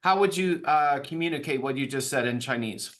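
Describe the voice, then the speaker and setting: A man's voice: medium pitch, fairly quick, a bit bright. A man hosting an interview, asking long rambling questions with fillers and casual phrasing. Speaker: show host.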